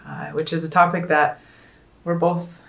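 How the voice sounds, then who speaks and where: A woman with a somewhat low voice leads a conference session. She talks in an earnest, conversational way, often pausing on ums as she gathers her thoughts. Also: flat delivery, gravelly, echoey room. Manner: earnest, conversational, with frequent ums and trailing pauses. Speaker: panel host. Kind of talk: casual conference panel talk.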